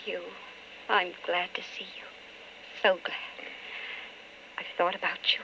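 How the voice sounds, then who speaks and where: An actress playing an anguished, impatient politician's wife in an old-time radio drama. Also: female voice, on the low side, little pitch movement, takes it slowly, vocal fry. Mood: anxious, yearning. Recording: muffled old radio broadcast with hiss.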